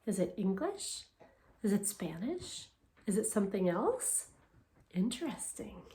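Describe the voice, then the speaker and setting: A woman teacher reading a picture book aloud to children in English and then Spanish, pausing to ask them questions. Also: medium pitch. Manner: expressive storytelling, asks children questions. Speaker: elementary school teacher. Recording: echoey room.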